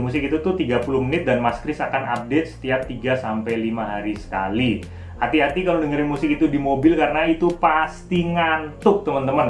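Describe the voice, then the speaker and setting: Man teaching casually, roomy distant sound. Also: medium pitch. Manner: informal, demonstrative, often counting out notes. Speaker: piano teacher. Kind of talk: casual tutorial talk. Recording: roomy, distant mic, loud piano music.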